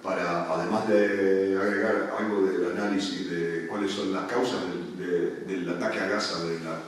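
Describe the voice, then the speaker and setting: Deep-voiced man, echoey room. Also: steady tone, normal speed. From Argentina. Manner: hesitant, many restarts and fillers. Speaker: panel speaker. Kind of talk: public talk at a union event. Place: meeting room at a union headquarters. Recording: echoey room, speaker far from mic.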